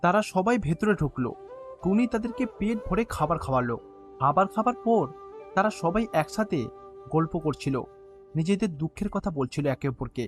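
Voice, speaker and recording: A man's voice: sits high, lively intonation, moderate pace, faint music behind. A man narrating a scripted children's bedtime story.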